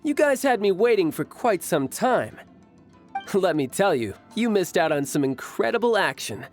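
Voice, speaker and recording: A cocky battle-loving fighter. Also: male voice, sits high, very animated, normal speed, faint music behind. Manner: breezy, boastful, teasing. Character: cocky young male fighter who loves battle. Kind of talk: video game cutscene dialogue.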